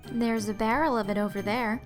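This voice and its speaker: An actress playing a lofty young shop clerk who answers politely but with a haughty edge. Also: female voice, a little high, steady tone, fairly quick, over music, natural voice.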